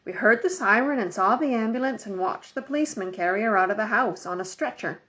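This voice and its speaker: A woman narrating a children's book aloud in an expressive, scripted storytelling style. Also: medium pitch, quick pace. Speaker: storybook reader. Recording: dull lo-fi home recording.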